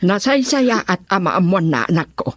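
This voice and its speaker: A woman's voice: medium pitch, very animated, normal speed, dry recording, clean studio sound. A woman playing an aggrieved, resolute mother in a radio drama, speaking in a firm, pleading way. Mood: resolute, emotional.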